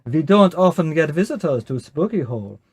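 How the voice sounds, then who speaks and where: Vampire count, played by a man: grand, pompous host with menace, highly animated intonation, dry close sound. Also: normal speed, slightly rough, no echo. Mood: grandly hospitable. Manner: pompous, theatrical welcome.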